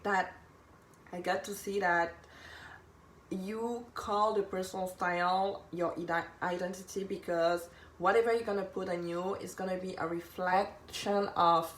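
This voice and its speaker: A woman from there, giving an earnest, informal live talk as a personal stylist, rephrasing and repeating for emphasis. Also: fairly low pitch. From Cameroon. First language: French. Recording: echoey room with background noise.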